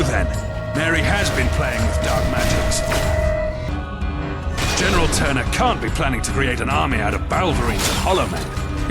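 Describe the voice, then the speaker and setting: A man voicing a wary, scornful officer in a video game, speaking articulately and with dry sarcasm. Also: fairly high pitch. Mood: alarmed, tense. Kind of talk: scripted video game dialogue. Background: music.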